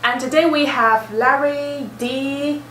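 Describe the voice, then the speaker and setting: A woman's voice: high-pitched, steady tone, slow, a bit bright, slight background noise. A woman hosting a casual discussion, curious and teasing as she asks questions. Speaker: host. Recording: echoey room, far from mic.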